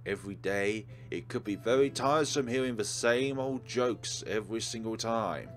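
A man's voice: lowish voice, normal speed. A man hosting a let's play, reading game text aloud and commenting on it. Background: game music.

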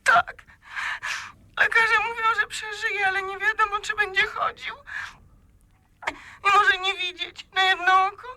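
An actress playing a distraught sister in a radio crime play, speaking in a shaken, anxious, halting way. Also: female voice, very high-pitched, fairly even intonation, bright, forceful tone, no echo, full character voice. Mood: distraught, tearful. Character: distraught sister of a badly beaten man.

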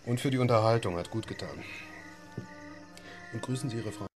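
A man's voice: lowish voice, brisk, music in the background, natural voice. An actor playing an easygoing doctor and father in a radio play, casual and wry. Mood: wry, relaxed. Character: easygoing adult male doctor and family father. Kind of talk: German radio play acting.

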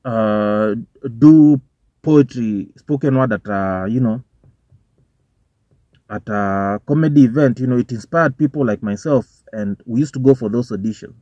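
Young Kenyan man with a Kenyan accent, mid-pitch, loose profane vlogger commentary, dull car recording. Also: unhurried, dark tone, dry recording. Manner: informal, swearing, switching between English and Swahili slang. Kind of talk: casual commentary to camera. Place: parked car, indoors. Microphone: phone or camera on the dashboard.

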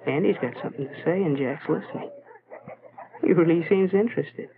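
An actor playing a lonely, self-doubting teenage schoolboy, musing to himself in a brooding way in a 1940s educational film style, on a tinny, noisy soundtrack. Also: male voice, fairly high pitch, brisk, natural voice. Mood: pondering. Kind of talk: 1940s educational film inner-monologue narration.

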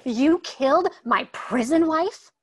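A woman's voice: sits high, animated, slow. A woman reading a bossy, menacing prison bride. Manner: bossy and threatening. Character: menacing tough female prison inmate bride. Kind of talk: table read.

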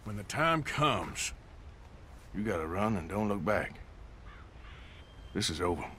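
An actor playing a gruff, resigned male outlaw in a video game. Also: on the low side, sing-song, measured pace, a bit bright, a little hiss or hum. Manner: drawling, terse and gruff. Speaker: outlaw gang member.

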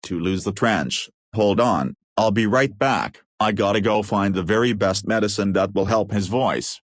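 A man voices a well-meaning, bumbling dog hero for a homemade cartoon. The voice is fairly low and sounds earnest and reassuring, recorded dry with no room sound. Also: normal speed, dry recording, natural voice. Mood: puzzled. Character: helpful, slightly bumbling cartoon dog superhero.

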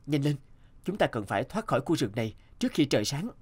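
An animated folktale hero, young, brave and kind. His delivery is earnest and slightly hesitant, with very lively swings in pitch. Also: fast talker, husky. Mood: urgent, earnest. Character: brave, kind young man rescuing a princess.

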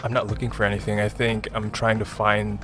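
A man's voice: fairly low pitch, monotone, a little fast. A man in his twenties with an American accent, talking casually as a radio interview guest. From United States. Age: 20s. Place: small radio studio. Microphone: close studio microphone. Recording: music behind the voice.

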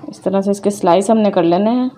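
Mellow-voiced woman explaining a recipe. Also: medium pitch, quick pace. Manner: calm, methodical, names each step as she works. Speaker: cooking channel host. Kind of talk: step-by-step cooking instructions. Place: home kitchen.

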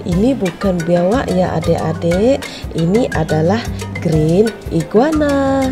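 The Malaysian woman you would hear on a homemade children's wildlife video, explaining slowly and deliberately while music plays clearly underneath. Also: fairly low pitch, animated. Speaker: vlogger and narrator. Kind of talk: voice-over narration of a wildlife park visit for children.

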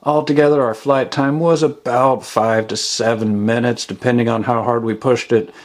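A man's voice: mid-range voice, steady tone, moderate pace. A man hosting a casual product review voice-over in a matter-of-fact way. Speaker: product reviewer and channel host.

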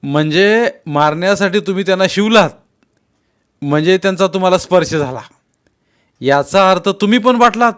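A man voicing a stern grown villager in a story, loudly and insistently challenging with pointed questions. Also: high-pitched, clear, resonant tone, pitched above the performer's own voice.

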